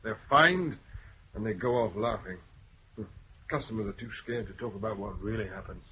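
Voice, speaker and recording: An actor playing a plain-spoken senior police officer in a radio drama. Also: male voice, fairly low pitch, normal speed, pushed and bright, a little hiss or hum. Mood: firm. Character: blunt senior male Scottish police chief. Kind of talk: 1970s BBC radio drama acting. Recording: dull old radio broadcast.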